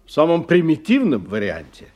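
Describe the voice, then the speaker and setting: A man's voice: on the high side, huge pitch swings, moderate pace, a little mellow. An actor playing a polite, anxious businessman who talks in probing questions, in a radio drama. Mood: worried, urgent. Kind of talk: radio play dialogue. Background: music.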